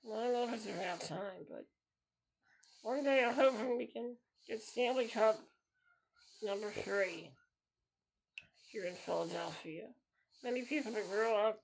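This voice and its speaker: A man's voice: high-pitched, measured pace, creaky, pauses often, a little hiss or hum, low-quality recording. A man in his thirties hosting a solo podcast, talking in an earnest, repetitive way and circling back to the same phrases. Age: 30s. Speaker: podcast host.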